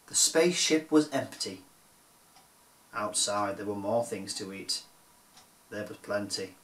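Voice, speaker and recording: Male children's storyteller, theatrical and deliberate picture-book read, forceful bright tone, roomy echo. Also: medium pitch. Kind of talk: expressive rhyming picture-book reading.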